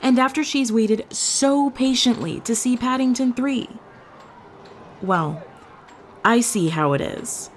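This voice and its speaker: A woman playing an earnest young witch who tells her story in a measured way, as in an audio drama. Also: fairly high pitch, a little hiss or hum. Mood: indignant, huffy. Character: earnest young witch fondly recounting meeting her familiar. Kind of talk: audio fiction monologue, storytelling.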